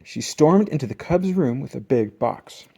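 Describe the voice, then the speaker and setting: Casting: picture-book narrator, adult, mid-range voice, expressive with wide swings in pitch and emphatic key words. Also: normal speed, gravelly, a little hiss or hum. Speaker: storybook reader. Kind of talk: scripted children's storybook narration.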